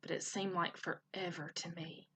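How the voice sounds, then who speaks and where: A woman's voice: lowish voice, level tone, normal speed, fairly bright tone, husky, voice pushed down. A woman voicing an anxious young male gosling, a devoted son, in a nervous, childlike manner for a storybook. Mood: relieved.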